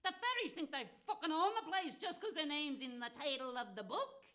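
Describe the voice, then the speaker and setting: Woman as a shrill, fed-up old witch mother. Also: expressive, a bit bright. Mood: exasperated, disgusted. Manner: exasperated, drawn-out, sweary ranting. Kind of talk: comic storytelling character voice.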